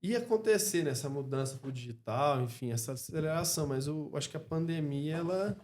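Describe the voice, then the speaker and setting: A Brazilian man speaking as a podcast guest in a casual interview, reflective and halting, often checking in with short tag questions. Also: fairly high pitch, a little mellow. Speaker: podcast guest, clothing store co-founder.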